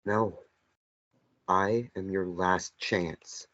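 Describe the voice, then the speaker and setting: Man reading the calm, insistent memory of a dead lover. Slow, husky delivery, muffled recording. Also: low-pitched, exaggerated voice. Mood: earnest. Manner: slow, gentle, earnest. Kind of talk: table read of a stage play. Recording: dull lo-fi sound.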